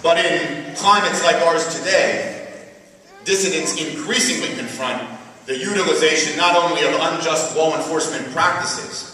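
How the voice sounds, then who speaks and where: A man in his thirties reading a prepared speech at a podium in a mosque prayer hall, in a measured, deliberate way. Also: on the high side, sharp tone. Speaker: speaker at a community event. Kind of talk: read speech. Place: mosque prayer hall, indoors, medium-sized. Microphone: podium mic filmed by a far camera. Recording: echoey hall, voice heard from far off.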